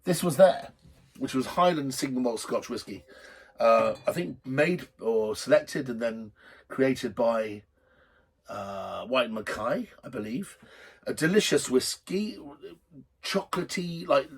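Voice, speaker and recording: A middle-aged man with a slightly husky voice hosting a drinks show in a rambling, jokey, blunt and sweary unscripted chat to camera. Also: medium pitch, animated, measured pace. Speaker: host of a drinks video show.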